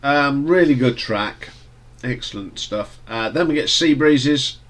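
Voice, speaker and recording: A man with a stuffy nose from hay fever, casually reviewing an album in a relaxed, rambling way with fillers and restarts. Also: medium pitch, measured pace. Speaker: music reviewer.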